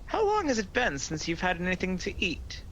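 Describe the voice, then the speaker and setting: An actor playing a sly, proud devil who taunts and tempts in a sardonic, theatrical, persuasive manner in a radio play. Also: male voice, sits high, huge pitch swings, fairly quick, fairly bright tone, dull audio, full character voice. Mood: mocking. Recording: noisy home video-call recording.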